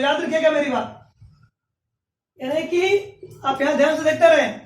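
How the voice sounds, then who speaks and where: High-voiced man teaching math, echoey. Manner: asks and answers his own questions, dictates slowly. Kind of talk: classroom math lecture. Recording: roomy echo from a distance.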